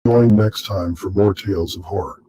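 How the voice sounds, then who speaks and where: Deep, dark-voiced male horror show host. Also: normal speed, slightly rough, dry recording. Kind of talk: scripted sign-off.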